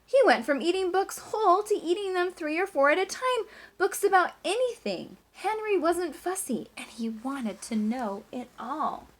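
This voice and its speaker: A woman teacher reading a picture book aloud to children, with expressive emphasis, drawn-out words and hushed asides. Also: extremely high pitch.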